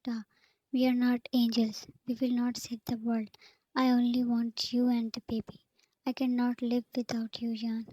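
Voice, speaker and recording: A woman voicing a grieving, stubborn young man who is protective of the one he loves, in a soft unvoiced whisper. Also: a little high, completely flat delivery, normal speed, no echo, natural voice, rough recording. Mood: desperate. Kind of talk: whispered story reading.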